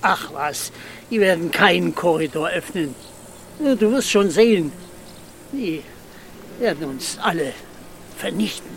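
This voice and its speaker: A bitter old villager in a radio drama, muttering scorn at a slow, dragging pace. The pitch sits in the middle, and a faint insect-like buzz hangs behind the fatalistic words. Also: expressive, fairly bright tone, slight background noise.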